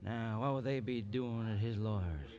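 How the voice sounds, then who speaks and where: A man's voice: lowish voice, normal speed, darkish tone, muffled recording, close to an everyday voice. A man playing a wary, dutiful small-town sheriff in an old-time radio western, folksy and plainspoken. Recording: vintage radio recording, crowd noise.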